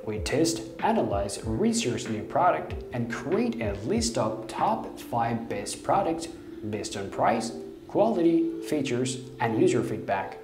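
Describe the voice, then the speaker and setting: A man's voice: medium pitch, sing-song, slow pace, over music. A young man narrating a scripted product review voice-over, reading with uneven stress and occasional slips.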